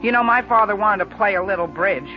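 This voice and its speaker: A man's voice: sits high, animated, brisk, soft background music. Anxious teenage boy, old radio. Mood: worried. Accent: American. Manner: earnest, hesitant, excuse-making. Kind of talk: 1940s radio acting. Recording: muffled vintage 1940s radio broadcast.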